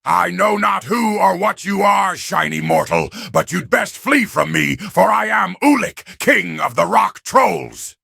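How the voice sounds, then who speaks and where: Croaking, high-pitched boastful troll king. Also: very animated, unhurried, hardly any pauses, pushed and bright, no room sound, studio quality, full character voice. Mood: menacing boast. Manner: pompous archaic speech. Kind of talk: video game character voice acting.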